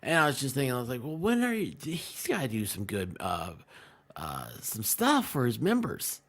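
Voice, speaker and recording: A man with a very animated, swinging delivery rambles casually through jokey anecdotes. He talks like a live stream co-host among friends, often acting out bits of conversation as he goes. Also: mid-range voice, normal speed. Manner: jokey, tells stories by quoting people in exchanges.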